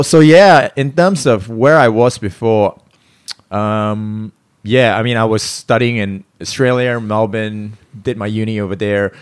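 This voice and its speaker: A man's voice: on the low side, clean tone, no room sound. A man in his thirties from Singapore, a guest talking casually in a hotel room, rambling and correcting himself, laughing now and then. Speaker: guest, personal trainer. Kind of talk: casual interview talk.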